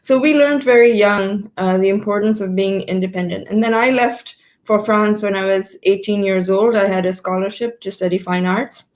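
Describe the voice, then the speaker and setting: A woman in her fifties, born in Pakistan, answering interview questions on a video call, often pausing to rephrase her sentences. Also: medium pitch. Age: 50s. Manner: thoughtful, stops to rephrase mid-sentence. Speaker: guest entrepreneur.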